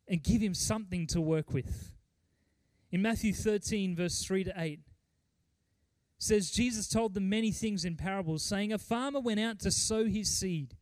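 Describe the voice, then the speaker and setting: A middle-aged male pastor delivers a sermon in a fairly high voice. He is earnest and emphatic, pausing deliberately and repeating key phrases. The sound is dry, with very little room echo. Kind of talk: sermon with mission report and scripture reading.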